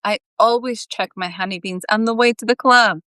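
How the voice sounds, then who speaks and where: A woman's voice: mid-range voice, moderate pace, a bit bright, no room sound, studio quality, close to an everyday voice. A woman voicing an earnest, put-upon young male rookie scientist in a storybook, speaking plainly. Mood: matter-of-fact.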